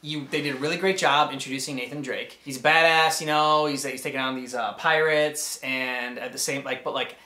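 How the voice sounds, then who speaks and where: A man in his thirties chatting casually as a reaction host, with a halting, enthusiastic delivery. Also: mid-range voice, steady tone, bright, forceful tone. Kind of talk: casual commentary chat. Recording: roomy indoor echo.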